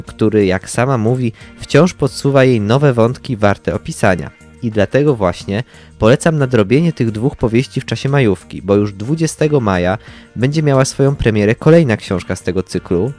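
A man's voice: lowish voice, expressive, normal speed, darkish tone, soft background music. A young man co-hosting a podcast, giving scripted book recommendations. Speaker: podcast co-host.

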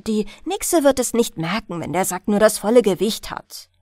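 A woman voicing a woodcutter's scheming, bossy wife in a fairy tale, brisk and conspiratorial. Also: mid-range voice, animated, mellow, no room sound, studio quality, natural voice. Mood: sly, scheming.

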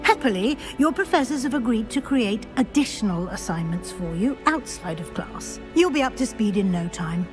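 An actress playing a brisk, stern professor. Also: female voice, mid-range voice, animated, lightly acted. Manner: crisp, brisk and no-nonsense. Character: brisk older female professor, stern and proper. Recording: game cutscene with music behind.

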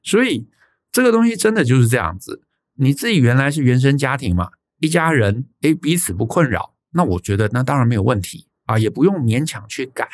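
A man's voice: mid-range voice, lively intonation, brisk. A man with a Taiwanese Mandarin accent talking through advice as a podcast host, chatty and emphatic, often repeating himself and tossing in rhetorical tags. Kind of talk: conversational advice monologue answering a listener's letter.

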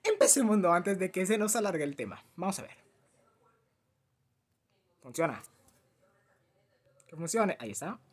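A young man with a Latin American Spanish accent, chatting casually and self-deprecatingly to camera as a rambling, animated YouTuber. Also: sits high, moderate pace, long pauses, breathy edge. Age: teens to early twenties. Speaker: YouTuber reacting to a fan dub. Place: small cluttered room at home.

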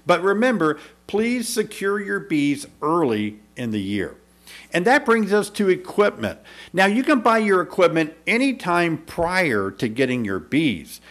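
Lively, upbeat male beekeeping teacher. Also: high-pitched, unhurried. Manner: upbeat, persuasive, clear teaching delivery. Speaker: beekeeping instructor. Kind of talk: scripted video lesson to camera. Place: small indoor studio. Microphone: desk microphone in front.

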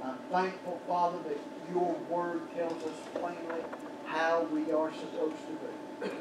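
Older pastor, fervent pulpit preacher, echoey sanctuary recording with room noise. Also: male voice, fairly high pitch, little pitch movement, a little slow. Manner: fervent preaching with sudden shouted peaks, then quiet prayer. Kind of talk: sermon. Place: small church sanctuary. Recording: roomy church echo from far off, background noise.

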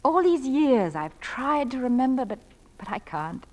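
A wistful, high-voiced radio-play mother. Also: sing-song, a little slow, a little mellow. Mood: tender, reflective. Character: gentle, wistful widowed mother in middle age. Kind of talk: 1940s-style radio play acting.

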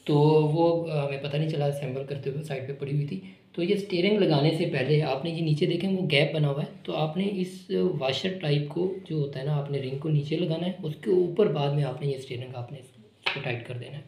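Guy walking thru putting a kids toy together, kinda high voice, phone mic, echoey room w noise. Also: a little fast, vocal fry, darkish tone. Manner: casual, explanatory, repeats himself while working with his hands. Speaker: online shop product demonstrator. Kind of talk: unscripted unboxing and assembly walkthrough. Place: indoor room with a table.

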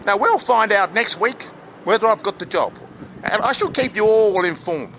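A man playing a brash, excitable, matey Australian actor with an Australian accent and plenty of slang, in a vlog monologue. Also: sits high, moderate pace, slight background noise, poor audio.

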